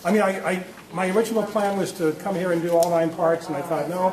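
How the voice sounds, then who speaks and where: A man's voice: high-pitched, fairly even intonation, fast. A man making an informal announcement to a group, hesitant and drily self-deprecating. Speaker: club member directing a script. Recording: echoey meeting room, crowd murmur.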